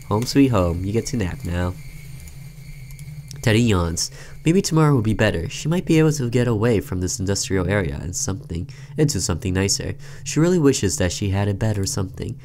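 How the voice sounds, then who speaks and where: A man's voice: fairly low pitch, vocal fry, darkish tone, a little hiss or hum. A man doing casual let's-play commentary, reading a game's narration aloud and reacting informally as he goes. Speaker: gaming video host reading a game's text aloud.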